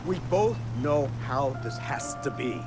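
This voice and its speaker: An actor playing a smug, condescending government agent in a video game, with a pompous, sardonic and eloquent manner. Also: male voice, medium pitch, sing-song, measured pace, loud music behind the voice. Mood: cold, menacing. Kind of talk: video game cutscene acting. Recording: loud car engine noise behind.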